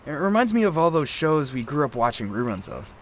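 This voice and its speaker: A man's voice: a little high, sing-song, a little fast, a little hiss or hum. A man playing a fussy, exasperated podcast sound technician in naturalistic audio drama dialogue, informal and nagging.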